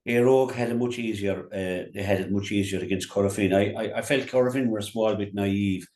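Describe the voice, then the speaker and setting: A very deep-voiced man with a County Clare accent talks through local sport off the cuff. He stumbles over words, repeating and restarting as he goes. The sound is echoey and roomy. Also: fairly even intonation, a little fast, darkish tone. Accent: Irish, County Clare. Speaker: local radio sports pundit. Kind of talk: unscripted GAA sports commentary on local radio.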